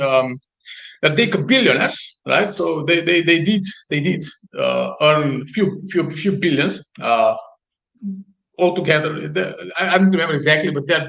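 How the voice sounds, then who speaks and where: Male business guest, high voice, Slovenian native accent, explanatory and halting, repeats words for emphasis. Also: a bit bright, rough recording.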